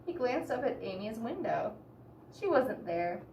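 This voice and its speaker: A woman reading a picture book aloud expressively to children, pausing to explain and ask the listeners questions. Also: a little high, very animated, darkish tone. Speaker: children's story reader. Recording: roomy echo, distant mic, background hiss.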